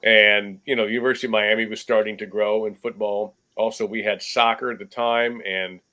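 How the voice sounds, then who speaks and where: A man in his sixties, a sports broadcaster, telling stories in a relaxed, measured way as a podcast guest from a cluttered room at home. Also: fairly low pitch. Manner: relaxed anecdotal storyteller, measured. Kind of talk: casual remote interview storytelling.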